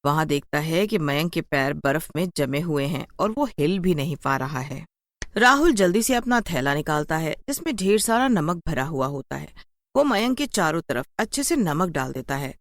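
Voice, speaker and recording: Low-voiced woman narrating a spooky story. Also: animated, normal speed, no echo. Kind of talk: scripted children's horror story narration.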